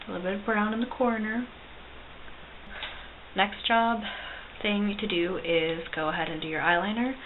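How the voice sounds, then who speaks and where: A woman's voice: medium pitch, fairly even intonation, normal speed, long pauses. Chatty young woman on a tinny, hissy recording. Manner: chatty, self-deprecating, many ums. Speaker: cosplay makeup vlogger. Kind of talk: casual makeup tutorial. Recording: tinny lo-fi recording with loud hiss.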